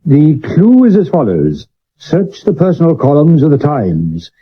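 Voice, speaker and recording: An actor playing a vain, hard-drinking Victorian stage actor with a British accent and grandiloquent delivery, in a 1970s radio drama. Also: male voice, on the high side, wildly swooping pitch, unhurried, breathy edge, heavily acted. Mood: reading aloud. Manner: pompous, grandiloquent, given to sudden shouts. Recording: muffled old medium wave radio recording.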